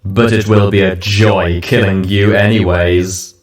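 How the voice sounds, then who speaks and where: A man's voice: little pitch movement, a little hiss or hum. A man voicing a regal, majestic winged unicorn goddess in a story reading, gloating and imperious, with a heavy echo effect. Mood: gloating menace. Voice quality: majestic, booming. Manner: slow, imperious, speaks as "we". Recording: heavily echoing voice effect.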